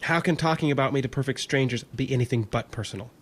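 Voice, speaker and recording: A man's voice: mid-range voice, fast talker, close to an everyday voice. A man playing an overworked, irritable and suspicious lab worker in an audio drama, in a casual, questioning manner. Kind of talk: naturalistic audio drama acting.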